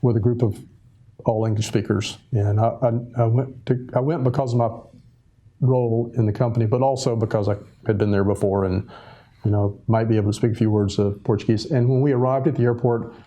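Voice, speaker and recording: A middle-aged man recounting life abroad as a guest in a relaxed TV interview, talking slowly with many restarts. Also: mid-range voice. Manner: unhurried, many restarts and fillers. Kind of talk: casual interview conversation. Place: local TV studio.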